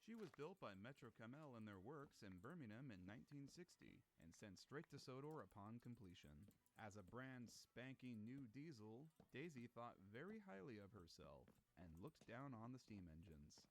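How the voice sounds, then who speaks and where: Man narrating with lively, swooping pitch. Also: moderate pace, few pauses, a little hiss or hum, rough recording. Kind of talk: scripted documentary-style narration about trains.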